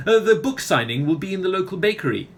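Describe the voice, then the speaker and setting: A man's voice: sits high, sing-song, quick pace. Man playing a pompous, grandiose author. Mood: grandly delighted. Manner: grandiose, wordy, theatrical. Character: pompous, self-important touring book author. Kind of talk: comic radio play acting.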